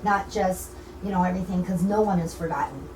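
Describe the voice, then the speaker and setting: A woman's voice: on the low side, a little fast, darkish tone. An American woman speaking informally as an interview guest, earnest and rambling, often repeating her phrases. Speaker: community organizer and interview guest. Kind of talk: informal radio interview talk. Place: echoey indoor broadcasting room. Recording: roomy, distant mic with faint noise.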